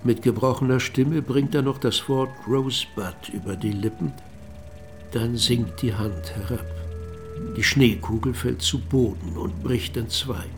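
Radio play narrator, played by a man: gravelly, reflective, deliberate and cryptic, faint music underneath. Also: medium pitch, close to an everyday voice. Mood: measured, enigmatic. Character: reflective male narrator recounting evidence and memories.

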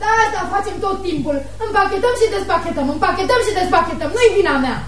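An actress playing a sulky, sharp-tongued teenage daughter in a radio play, sarcastic and defiant. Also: female voice, very high-pitched, lively intonation, slight background noise. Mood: fed up. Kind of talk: radio theatre acting.

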